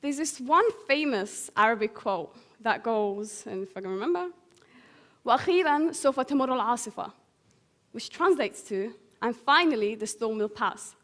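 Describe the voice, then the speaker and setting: A woman's voice: a little high, expressive, brisk, fairly bright tone. A teenage young woman from Syria giving an earnest prepared public speech. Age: teens. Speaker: young guest speaker.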